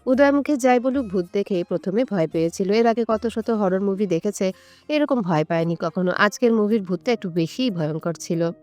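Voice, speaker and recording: A woman's voice: medium pitch, moderate pace, a little mellow. A woman reading a scripted romantic story as an audio story narrator. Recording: music under the voice. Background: background music.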